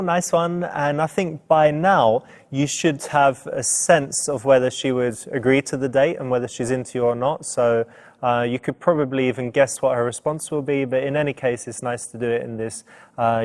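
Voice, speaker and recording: A man plays a dating coach giving measured, approving commentary to a game player. His pitch is middling, and the voice rings with long reverb. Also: fairly even intonation, a little mellow, natural voice. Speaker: seduction coach presenting the game.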